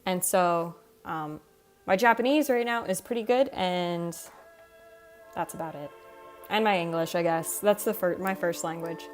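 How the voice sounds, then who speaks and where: A woman's voice: on the low side, a little slow. Young woman streamer chatting over background music. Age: young adult. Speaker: live streamer. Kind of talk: casual livestream chat. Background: soft video game music.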